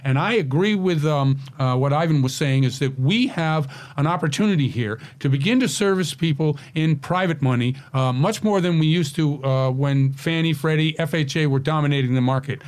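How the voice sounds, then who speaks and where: A man's voice: on the high side, normal speed, hardly any pauses. Chatty middle-aged radio host man. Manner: chatty, hesitant with many fillers. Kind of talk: casual radio interview.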